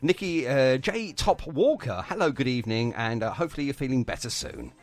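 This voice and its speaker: A male radio presenter in relaxed interview mode, chatty and encouraging. His mid-pitched voice swings up and down a great deal as he works his way through wordy questions. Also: a little fast. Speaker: radio show host. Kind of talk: casual chat radio interview.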